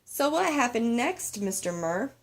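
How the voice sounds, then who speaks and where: A woman's voice: fairly high pitch, big pitch swings, unhurried, heavily acted. Woman voicing an eager, curious young schoolgirl. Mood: curious, anxious. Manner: eager, questioning. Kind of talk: children's storybook read-aloud.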